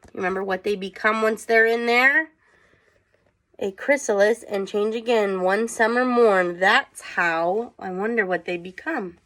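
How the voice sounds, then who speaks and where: A woman's voice: medium pitch, a little slow, a bit bright. A woman teacher reading aloud to children, with chatty asides and questions for her young listeners.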